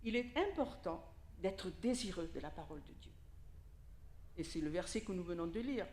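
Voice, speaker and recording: A woman's voice: fairly low pitch, expressive, moderate pace, darkish tone. Italian-born woman preaching in a roomy hall. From Italy. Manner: conversational, addresses listeners directly with questions and asides. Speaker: lay preacher. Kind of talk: informal sermon to a congregation. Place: church hall during a service.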